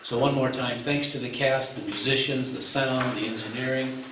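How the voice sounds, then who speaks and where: A man's voice: mid-range voice, fairly even intonation, normal speed. A man giving informal thanks and remarks from the stage as an event host. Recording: echoey room from far off, noisy.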